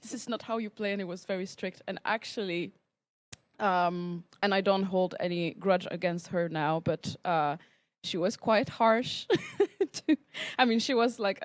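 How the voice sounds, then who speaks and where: A woman's voice: mid-range voice, dry recording. A young woman from Switzerland, a guest musician, telling her story in an interview. She laughs often between phrases and uses many ums and restarts. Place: podcast studio.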